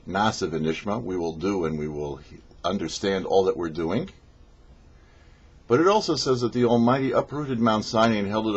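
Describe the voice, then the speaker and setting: Male rabbi reading a scripted torah lesson, lowish husky voice, explains things step by step. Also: lo-fi sound. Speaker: rabbi hosting a weekly Torah portion show. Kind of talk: scripted religious teaching.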